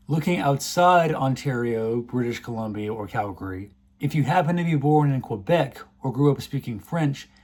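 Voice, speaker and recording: A man's voice: medium pitch, darkish tone, breathy edge. A man delivering a scripted, earnest commentary, indignant about the issue he is laying out. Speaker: video essay host.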